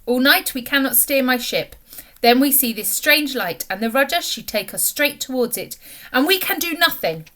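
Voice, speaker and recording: A woman's voice: high voice, animated, normal speed, bright, forceful tone. A woman doing a jolly Russian sea captain. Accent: put-on Russian. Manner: broken English, grand and jolly. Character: cheerful burly male Russian sea captain.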